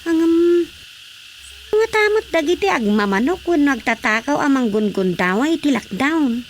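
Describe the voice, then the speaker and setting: A woman's voice: sits high, big pitch swings, normal speed, slight background noise. A woman playing a chatty village housewife in a comic radio drama, questioning and exclamatory. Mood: concerned.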